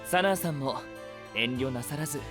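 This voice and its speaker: A man's voice: medium pitch, moderate pace, loud music behind the voice, close to an everyday voice. A man playing a gracious, courteous knight who speaks formally and politely in an anime-style audio drama. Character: gracious, polite male knight hosting guests.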